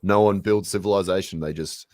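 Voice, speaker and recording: Low-voiced blunt man. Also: steady tone, a little fast, darkish tone, dry recording, clean studio sound. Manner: blunt, swears freely. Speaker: guest. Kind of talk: casual political chat.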